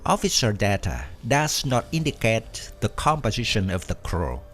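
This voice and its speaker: A man reading a scripted documentary narration at an even, measured pace. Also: lowish voice. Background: faint background music.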